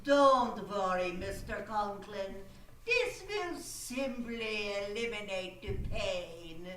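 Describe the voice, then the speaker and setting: A woman's voice: medium pitch, big pitch swings, slow. A woman playing a menacing, teasing nurse in a live radio drama. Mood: sinister soothing. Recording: echoey, distant, noisy stage pickup.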